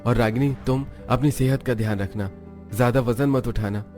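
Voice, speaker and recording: A man voicing a caring, responsible elder son saying goodbye in an animated story. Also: mid-range voice, normal speed, soft background music, close to an everyday voice.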